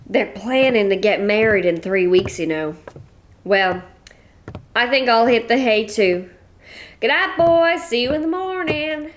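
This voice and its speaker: Brief: woman voicing a no-nonsense western ma, folksy twang, a bit high. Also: animated, measured pace, slight background noise. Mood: blunt. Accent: American Western. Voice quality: blunt, folksy. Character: brash widow from the American West, middle-aged. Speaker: Mrs. Gibson.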